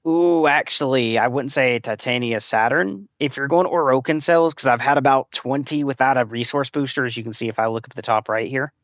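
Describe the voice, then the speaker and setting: A slightly hoarse man chatting through a live gameplay stream at a middling pitch, explaining builds in a loose, rambling way. His voice is dry and close, with no room sound behind it. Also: fairly quick, hardly any pauses, a bit bright, no room sound. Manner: rambling, filler-heavy advice-giving. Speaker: game streamer.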